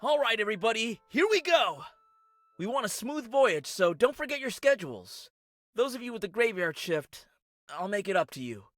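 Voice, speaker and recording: A man's voice: high-pitched, very animated, normal speed, fairly bright tone, no room sound. An actor playing a cheerful male pirate captain rallying people in a video game. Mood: rousing. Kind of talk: animated game character acting. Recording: dry voice over background music.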